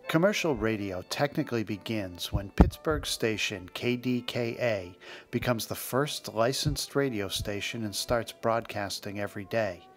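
A man's voice: on the low side, animated, measured pace. A man narrating a scripted history podcast as its host, with music playing behind him. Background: background music.

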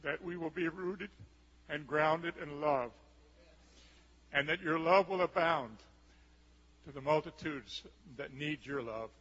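Older man, pastor, measured prayer, echoing sanctuary, lo-fi tape. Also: a little high, a bit bright, breathy edge. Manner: measured, solemn praying. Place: large church sanctuary stage. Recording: lo-fi camcorder recording in a reverberant hall.

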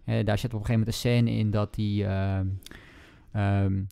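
A man's voice: on the low side, little pitch movement, moderate pace, vocal fry, dark tone, smooth, dry recording. A man, co-hosting a casual book talk, hesitant and often repeating and correcting himself. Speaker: book podcast co-host. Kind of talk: casual book and film discussion.

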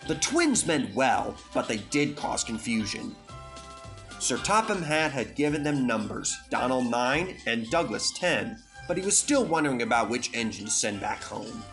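Casting: male storyteller for children's tale, measured pace, bright lively delivery, music underneath. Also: mid-range voice. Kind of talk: scripted children's story narration.

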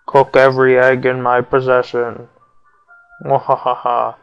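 A man's voice: medium pitch, monotone, measured pace, smooth, muffled recording. A young man giving casual, self-mocking commentary over a video game, with his voice feeling a little off. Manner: wry, self-mocking, mutters asides. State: voice feels off, maybe getting sick. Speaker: let's play commentator. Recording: faint game music behind.

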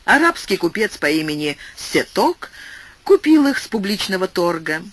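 A man's voice: high voice, sing-song, normal speed, fairly bright tone, no room sound. A man narrating a classic literary audiobook, telling the story expressively. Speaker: audiobook reader.

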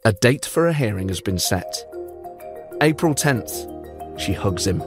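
Male podcast host, low-pitched, measured scripted narration with dry wit. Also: animated, over loud music. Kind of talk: scripted storytelling narration with banter.

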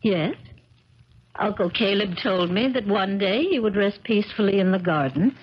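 An actress playing an earnest, trusting, stubborn young niece, speaking emphatically in a radio drama. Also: female voice, mid-range voice, expressive, moderate pace, husky. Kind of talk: 1970s radio drama acting. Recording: dull crackly 1970s radio recording.

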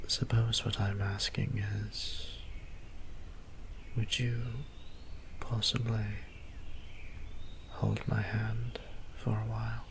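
A gentle young male vampire priest, acted by a man, murmurs in a breathy whisper for an ASMR roleplay. His delivery is very even and slow, weary and courteous, with hesitant pauses. There is faint noise behind the voice. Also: fairly low pitch, exaggerated voice. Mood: shy, trusting. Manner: formal, polite, hesitant, halting phrasing. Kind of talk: soft-spoken ASMR roleplay.

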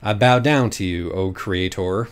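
A man voicing a calm, sarcastic, smug male cat in an audiobook. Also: low-pitched, a little slow, clear, resonant tone.

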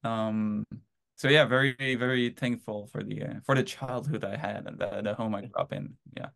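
A man's voice: lowish voice, normal speed, gravelly. A man in his thirties from Israel, telling his story in a casual interview over a video call from his home, rambling with lots of fillers. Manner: rambling, animated anecdotes with many fillers. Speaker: band drummer, guest. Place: home room.

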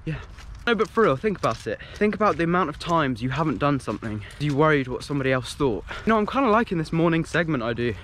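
A man's voice: on the high side, big pitch swings, fast talker, a bit bright, a little hiss or hum. A young man in his twenties, vlogging outdoors in open fields, joking and playful as he talks straight to a handheld camera at arm's length. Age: 20s. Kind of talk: casual vlog chat to camera. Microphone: handheld action camera at arm's length.

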